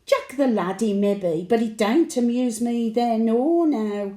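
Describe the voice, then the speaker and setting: A woman's voice: a little high, measured pace, dark tone, roomy sound, lightly acted. A woman playing a cheeky, wistful elderly market-trader widow with a Birmingham accent, telling her tales in a chatty, colloquial audio monologue. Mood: wry, scornful. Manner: colloquial storytelling with dry chuckling asides. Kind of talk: audio monologue, chatty diary addressed to late husband.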